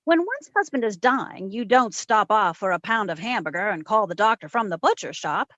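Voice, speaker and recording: A woman playing a sharp, wry private investigator in an old-time radio detective drama, narrating and trading teasing endearments. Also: medium pitch, lively intonation, moderate pace, no echo, dull audio, natural voice. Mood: wry storytelling.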